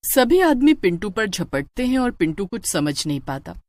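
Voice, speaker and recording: Animated woman storyteller, dry close sound. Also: medium pitch, normal speed, dry recording. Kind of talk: dramatic scripted story narration.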